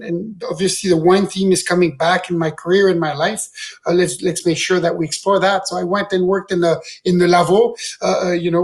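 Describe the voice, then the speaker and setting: A man from Switzerland speaking as a guest winemaker, telling stories informally and haltingly, often repeating words. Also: sits high. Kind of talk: informal storytelling in an online round table.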